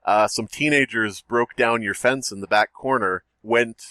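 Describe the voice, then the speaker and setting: A man co-hosting a casual podcast, telling stories in an animated, joking, chatty way. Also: medium pitch, a little slow, no room sound. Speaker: podcast co-host. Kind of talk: casual chat, storytelling. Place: home.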